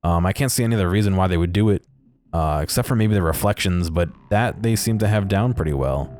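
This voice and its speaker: Low-voiced man musing over a game. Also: fast talker, darkish tone. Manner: hesitant, musing, thinking aloud. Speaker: video creator, gameplay commentator. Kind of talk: casual unscripted game commentary.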